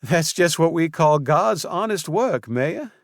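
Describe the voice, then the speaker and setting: A man's voice: on the high side, animated, slow, dry recording, studio quality, pitched above the performer's own voice. A man voicing a cheerful young woman florist with a Southern US drawl, folksy and chatty.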